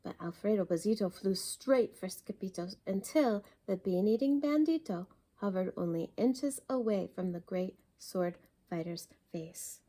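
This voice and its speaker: A woman's voice: medium pitch, animated, measured pace, few pauses, dark tone. A woman narrating a children's storybook expressively, with a dramatic, emphatic delivery, as a story time reader.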